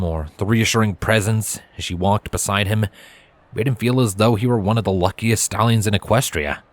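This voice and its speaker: A man's voice: fairly low pitch, no room sound. A man reading a scripted story aloud as its narrator. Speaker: fan fiction narrator. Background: background music.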